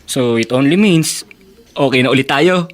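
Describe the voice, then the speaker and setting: A man's voice: medium pitch, moderate pace, lightly acted. A man with a Filipino accent playing a boyish, cajoling young suitor in a radio drama. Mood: teasing. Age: young adult. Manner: playful, cajoling. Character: teasing, pleading young suitor, boyishly charming. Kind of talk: Tagalog radio drama acting.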